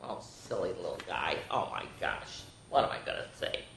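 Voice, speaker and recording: A woman's voice: a bass voice, big pitch swings, moderate pace, gravelly, fairly bright tone. A woman hosting a children's storytime, reading picture books aloud with playful, chatty asides to her young listeners. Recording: echoey, roomy sound.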